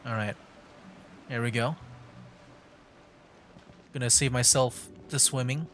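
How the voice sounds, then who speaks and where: A man's voice: mid-range voice, fairly even intonation, slow pace, fairly bright tone, slight background noise. Man rambling through casual game commentary. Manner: rambling, thinking aloud, hesitant phrasing. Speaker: game livestreamer.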